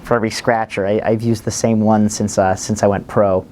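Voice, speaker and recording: A man playing a boastful lottery scratcher regular who talks in a halting, chuckling way. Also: fairly low pitch, little pitch movement, noisy background, close to an everyday voice.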